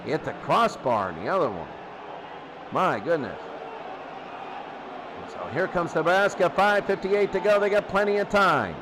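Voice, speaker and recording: Male football commentator, high register, excitable and conversational, noisy recording. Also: moderate pace, long pauses. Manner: excitable, conversational asides between plays. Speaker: play-by-play commentator of a simulated football tournament. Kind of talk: live American football radio-style commentary.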